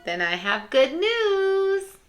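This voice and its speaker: A woman's voice: very high voice, sing-song, takes it slowly, over music, pitched above the performer's own voice, heavily acted. A woman voicing a cheerful, helpful little female pig in a singsong, matter-of-fact way for a children's picture book.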